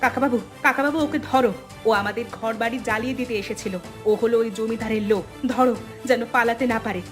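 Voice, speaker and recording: A woman's voice: a little high, steady tone, fast talker, soft background music, close to an everyday voice. A woman voicing a feisty, defiant poor village wife in a cartoon folk tale. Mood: alarmed.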